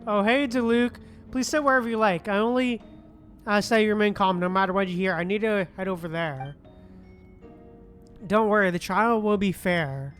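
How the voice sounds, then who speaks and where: A woman's voice: mid-range voice, moderate pace, soft background music. A woman streaming a game, casually commenting and reading dialogue aloud, correcting slips as they come.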